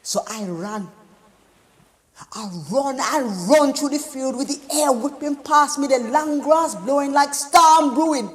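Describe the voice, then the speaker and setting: Rich: A woman performing a haunted mother confessing in a slow, broken stage monologue. Her voice sits fairly high and drags each line out, and a long hall reverb trails behind it. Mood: anguished. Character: anguished woman haunted by a lost newborn.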